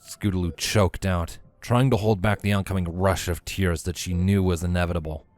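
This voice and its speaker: A man's voice: deep voice, steady tone, normal speed, a little mellow. A man reading a scripted story as its narrator. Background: music.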